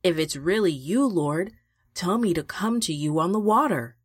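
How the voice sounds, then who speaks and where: A woman's voice: fairly low pitch, animated, moderate pace, breathy edge, dry recording, studio quality. A woman voicing Peter, an eager and impulsive fisherman, in a children's Bible storybook reading. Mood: pleading.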